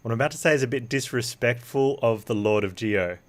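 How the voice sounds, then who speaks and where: A man's voice: mid-range voice, a little fast. A man giving casual, improvised game commentary as a streamer, with a wry, joking, play-acting manner.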